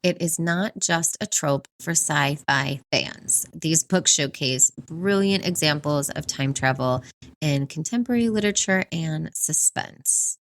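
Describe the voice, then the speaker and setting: A woman's voice: deep voice, expressive, unhurried, slight background noise. A woman co-hosting a book podcast, talking conversationally and enthusiastically as she recommends books. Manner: enthusiastic, chuckles often.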